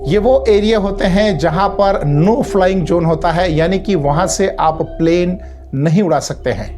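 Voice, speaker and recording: A man narrates an explainer video over clearly audible background music. He follows a script and speaks to viewers directly, setting things out step by step in an instructional manner. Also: high voice, measured pace, darkish tone. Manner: explanatory, addresses viewers as friends. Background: prominent background music.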